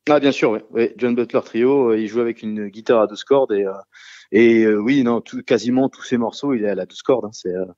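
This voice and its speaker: A man's voice: medium pitch, brisk, darkish tone, dry recording, dull audio. A man who sings and plays guitar in a rock trio, answering radio interview questions in a casual, hesitant way with many fillers and restarts. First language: French.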